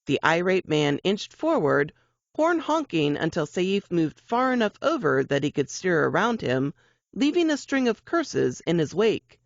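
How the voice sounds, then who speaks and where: A woman reading fiction aloud as a narrator, in an expressive, suspenseful, audiobook-style delivery. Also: lowish voice, measured pace, creaky, no room sound, lo-fi sound.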